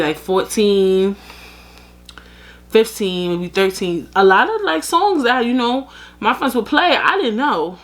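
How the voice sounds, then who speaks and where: A woman's voice: on the low side, fairly bright tone. A young woman from the United States hosting a podcast, talking casually and frankly to her listeners, with plenty of fillers and asides. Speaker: podcast host. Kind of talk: casual solo commentary.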